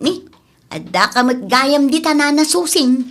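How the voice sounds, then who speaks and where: A woman's voice: sits high, expressive, unhurried, a bit bright, exaggerated voice. A woman playing a stubborn, grabby village housewife who argues back in whining retorts, in a comedic radio drama. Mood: insistent.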